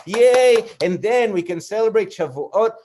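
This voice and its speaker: A man with an Israeli accent presents a children's puppet show. His voice is notably high and very lively in pitch. He explains things simply, with encouraging exclamations and repeated phrases. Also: unhurried, background noise. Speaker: puppeteer and storyteller. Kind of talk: children's show storytelling in dialogue.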